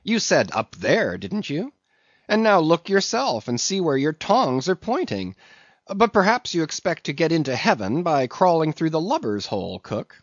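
A man voicing a brash, bossy whaling ship's mate in a storybook, teasing and interrogating loudly. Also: on the high side, sing-song, moderate pace, dry recording, dull audio, voice pushed up. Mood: mocking. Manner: loud, teasing, interrogating, swooping exclamations.

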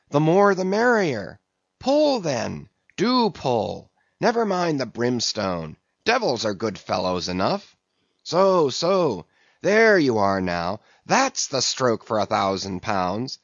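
A man's voice: fairly high pitch, fairly bright tone, dry recording, muffled recording, voice pushed up, exaggerated voice. A man voicing a jovial whaleboat mate in a sea-novel audiobook, coaxing and mock-scolding his rowing crew with drawling exhortations. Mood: jovial cheering.

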